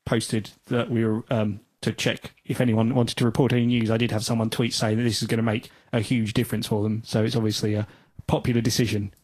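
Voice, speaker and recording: Male co-host, medium pitch, husky grain, informal news delivery, close dry studio. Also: level tone, fast, darkish tone, no room sound. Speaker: podcast co-host. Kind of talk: casual news presenting.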